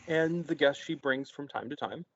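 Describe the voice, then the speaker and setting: A man's voice: a little high, moderate pace, dull audio. A man in a script table read, playing an obedient, sheltered son in his thirties who talks simply, like a child. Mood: meek, naive. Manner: simple, short answers.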